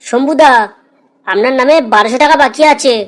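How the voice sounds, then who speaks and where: A woman's voice: high-pitched, brisk, natural voice. A woman voicing a cheeky street food vendor in a comedy cartoon, hawking and needling. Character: cheeky paratha vendor haggling with customers.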